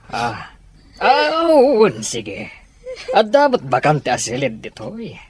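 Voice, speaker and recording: A woman playing an indulgent, gently authoritative old grandfather in an Ilocano audio drama, with a husky voice. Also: sits low, wildly swooping pitch, slow, heavily acted. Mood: indulgent, firm.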